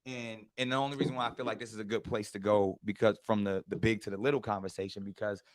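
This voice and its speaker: A man's voice: on the low side, fast, no echo. A man hosting a casual talk show, speaking animatedly and often restarting his sentences. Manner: animated, many repeated starts. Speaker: host. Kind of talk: casual conversation with a guest.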